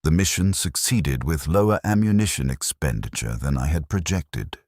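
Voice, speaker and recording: A man reading a scripted first-person war story in a detached, clinical and measured manner. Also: very deep voice, expressive, a little mellow, no echo, clean studio sound. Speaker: narrator of a science fiction war story.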